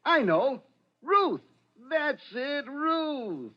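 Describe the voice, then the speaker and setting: A simple, folksy old shop man from vintage radio drama. His high voice bounces around eagerly between hesitations, heard through a dull, aged recording. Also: very animated, extremely slow, full character voice. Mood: eager, pleased. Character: friendly, slow-witted but eager older shopkeeper called Pop. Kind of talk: 1940s radio drama acting.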